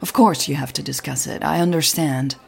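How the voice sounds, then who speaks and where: A woman voicing an eager, persuasive male marketing contact in an audio drama, with a husky, creaky voice. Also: deep voice, a little fast, heavily acted. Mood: friendly, hurried.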